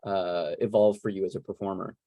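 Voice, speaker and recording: A man moderating a casual Q&A on a video call, talking in a wordy, rambling, animated way. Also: low voice, brisk, dry recording, clean studio sound. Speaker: moderator of a panel Q&A. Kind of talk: casual conversational interviewing.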